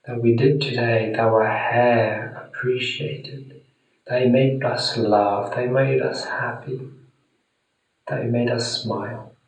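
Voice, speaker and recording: Slow-speaking male meditation guide in echoey room. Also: mid-range voice, steady tone. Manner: soothing, deliberate, with long pauses. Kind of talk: guided meditation, spoken gently to the listener. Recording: very echoey room, distant mic.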